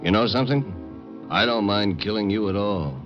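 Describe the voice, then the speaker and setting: An actor playing a menacing, tough-talking thug in a 1940s radio crime drama. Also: male voice, lowish voice, animated, moderate pace, lightly acted. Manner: tough street talk, threatening. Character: menacing male thug holding someone at gunpoint. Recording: muffled old radio, music behind.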